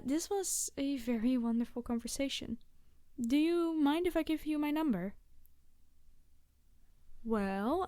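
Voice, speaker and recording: Woman acting a high-voiced, awkward, chatty young biologist. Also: a little mellow, no room sound. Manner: gushing, rambling, hesitant and flustered. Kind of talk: roleplay audio addressed to the listener.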